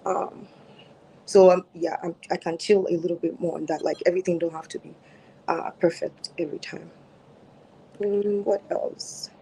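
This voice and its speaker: Soft-spoken Nigerian woman in her thirties. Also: lowish voice, steady tone, normal speed, gravelly, a little hiss or hum, low-quality recording. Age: 30s. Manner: soft-spoken, many fillers and restarts. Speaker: guest on a dating show. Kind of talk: casual interview answers. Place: home, mid-move. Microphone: phone.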